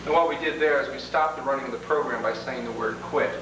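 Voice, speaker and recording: Distant, echoey man demonstrating software. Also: mid-range voice, fast. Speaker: product demonstrator. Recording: distant, echoey, noisy 1980s demo tape with hum.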